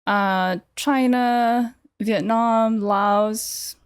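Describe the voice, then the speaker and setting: A woman's voice: a little high, fairly even intonation, extremely slow, clear, resonant tone, dry recording, clean studio sound. A woman with a Californian accent talking casually as an interview guest, explaining things in an informal, tentative way. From United States (California). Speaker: podcast guest, artist. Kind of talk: casual conversational interview answers.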